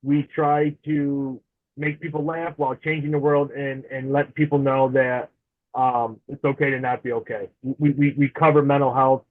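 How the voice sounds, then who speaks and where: A man's voice: medium pitch, little pitch movement, moderate pace. Chatty man in his forties on a tinny line. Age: 40s. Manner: chatty and friendly, laughs easily. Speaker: podcast guest. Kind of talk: casual interview chat. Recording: tinny phone-like remote line.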